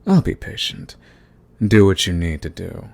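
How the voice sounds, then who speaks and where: Villain, male actor: deep voice, cool menace, smug and taunting, unhurried threats, audio roleplay. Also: big pitch swings, creaky, darkish tone, heavily acted. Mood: menacing. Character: cocky, menacing male villain, coolly fond of his enemy. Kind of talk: ASMR roleplay acting, addressing the listener.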